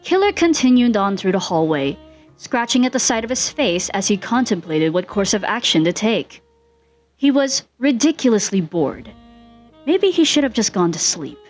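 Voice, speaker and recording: A woman reading fan fiction aloud as an expressive narrator, with music behind her. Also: medium pitch.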